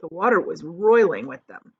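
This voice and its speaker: A woman teacher reading a children's book aloud and pausing to explain it. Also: fairly low pitch, big pitch swings, husky, no room sound. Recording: dull, lo-fi audio.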